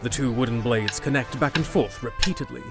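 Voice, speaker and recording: A man's voice: mid-range voice, fairly quick, gravelly, loud music behind the voice. A man narrating a scripted fantasy audio drama as a storyteller.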